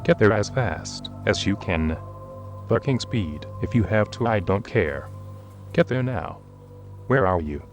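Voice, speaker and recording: Police chief for audio drama, male actor: lowish voice, brusque, profane, short fuse, music underneath. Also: lively intonation, measured pace. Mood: angry, urgent. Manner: brusque, profane, barking orders. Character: hot-tempered, foul-mouthed male police chief, gruffly protective.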